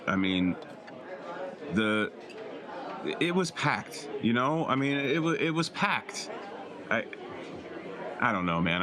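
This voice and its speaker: A man's voice: mid-range voice, voice pushed up, lightly acted. Man playing a flustered, stammering adventurer. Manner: stammering, hesitant, repeating himself. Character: flustered, self-doubting young half-elf adventurer man. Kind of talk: improvised tabletop roleplay dialogue.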